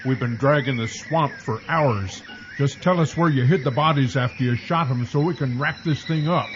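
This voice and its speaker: An actor playing an irritated, folksy backwoods sheriff in a campy radio parody. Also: male voice, mid-range voice, moderate pace, natural voice. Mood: exasperated. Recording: dull lo-fi, clear outdoor-like noise.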